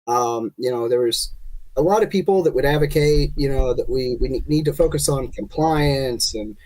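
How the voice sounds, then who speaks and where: Guest: congested man, mid-pitched, talking casually about his background. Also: normal speed, rough recording. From Kentucky. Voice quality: nasal from congestion, relaxed rambling delivery. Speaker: guest, state party chair. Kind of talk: casual podcast interview.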